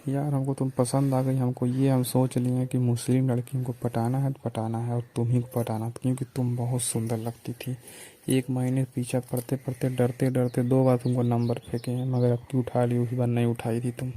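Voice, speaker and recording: Menacing, insistent male suitor, played by a man for a phone drama; eastern Hindi-belt accent, flat delivery, very mellow dark tone. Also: medium pitch, moderate pace, natural voice. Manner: insistent, colloquial, domineering. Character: pushy, menacing male suitor making threats.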